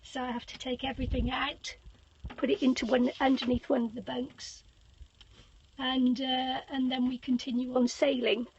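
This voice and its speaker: An older woman with a squeaky voice giving a rambling, hesitant craft room tour from a small boat cabin, filming on a handheld phone. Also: flat delivery, normal speed, highs cut off, slight background noise. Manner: rambling, hesitant with many fillers, giggly. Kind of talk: casual live-stream tour.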